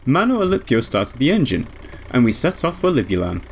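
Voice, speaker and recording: Male let's player, mid-pitched and expressive, reading story narration with music behind. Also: brisk, vocal fry, a little hiss or hum. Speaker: let's player reading a visual novel aloud. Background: background music.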